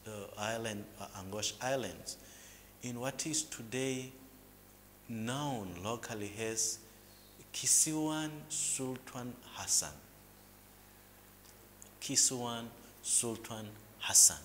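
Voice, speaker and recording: A man from Mozambique, explaining local history as an interview guest in a measured, lecturing way, with hesitant fillers and restarts. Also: medium pitch, a bit bright. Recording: reverberant room with faint background noise.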